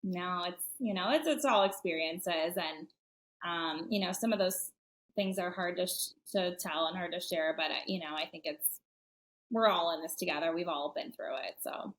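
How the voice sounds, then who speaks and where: A woman's voice: lowish voice, normal speed. A woman guesting on a real estate podcast, talking casually with fillers and frequent laughs. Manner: chatty, many fillers, laughs often.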